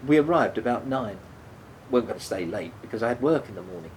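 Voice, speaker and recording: A man's voice: quick pace, lightly acted. Man acting a stammering, guilt-ridden man, noisy recording. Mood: defensive, regretful. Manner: hesitant, stammering, repeating himself. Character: remorseful, defensive man haunted by a fatal drunk-driving crash. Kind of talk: naturalistic radio play dialogue. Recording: steady background noise, low rumble.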